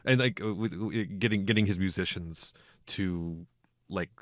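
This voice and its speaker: A man's voice: low voice, unhurried, no room sound, clean studio sound. A man hosting a casual film podcast, getting over a cold, wry and chuckling between his remarks. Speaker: podcast host.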